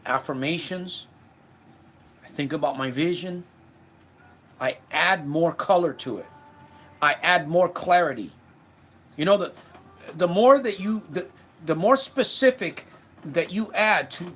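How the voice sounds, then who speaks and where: A man's voice: fairly high pitch, a bit bright. A man in his fifties from Puerto Rico, giving an earnest self-help livestream talk and answering viewer comments, often repeating words as he goes. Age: 50s.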